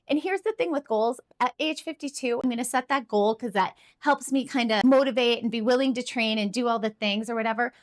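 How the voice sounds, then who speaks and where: A woman in her fifties talks straight to camera at an everyday middle pitch. Her manner is earnest and encouraging, and she pokes gentle fun at herself now and then. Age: 50s. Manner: earnest, encouraging, self-deprecating. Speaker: climbing vlogger. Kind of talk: casual talk to camera.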